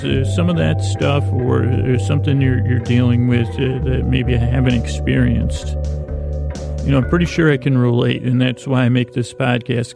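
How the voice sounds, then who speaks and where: A man hosting a sleep podcast in a rambling bedtime monologue, creaky and gravelly, halting and stammering as he repeats words and wanders off. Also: mid-range voice. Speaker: podcast host.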